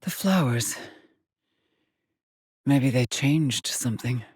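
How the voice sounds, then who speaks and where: An actress voicing a weary, driven middle-aged woman exploring space in a video game, with a husky, clipped and solemn delivery. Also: rumbling low, animated, slow, a little mellow, no echo, studio quality, heavily acted. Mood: focused, measured. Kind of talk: dramatic game voice lines, terse log entries.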